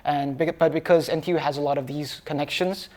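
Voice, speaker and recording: Earnest young man explaining calmly. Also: a little high, a little fast. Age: young adult. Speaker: student panelist. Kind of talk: casual panel chat.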